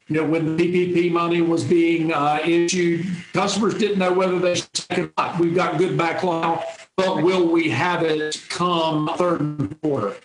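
Middle-aged male interviewee, folksy and easygoing, slightly high voice, roomy video call sound. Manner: easygoing, folksy, frequent restarts. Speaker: territory manager, interview guest. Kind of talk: conversational interview answers. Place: home office. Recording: echoey room on a video call.

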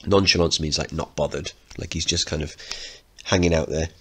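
A man's voice: extremely low pitch, fairly even intonation, normal speed, a bit bright, slightly rough. A man teaching informally, narrating a play and explaining it as he goes. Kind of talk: dramatic reading with lesson commentary.

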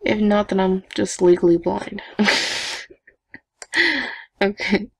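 A young woman chatting casually to viewers while she builds a game avatar, talking to herself with giggly asides in a low evening voice. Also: level tone, takes it slowly, vocal fry, husky, no echo. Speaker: gaming vlogger. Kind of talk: casual screen-recording commentary.

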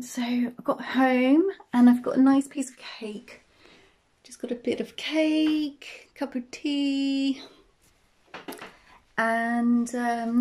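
A woman's voice: mid-range voice, a little mellow. A woman, chatting casually in a travel vlog, filming herself on a phone at arm's length. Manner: chatty, enthusiastic, many ums. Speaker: vlogger. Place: outdoors by the sea, later a home kitchen. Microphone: phone held at arm's length.

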